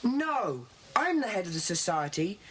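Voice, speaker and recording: Woman as impatient schoolboy leader, brisk, commanding, children's audio drama, faintly noisy cassette recording. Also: medium pitch, big pitch swings. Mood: firm. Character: bossy, impatient schoolboy leader of a secret club.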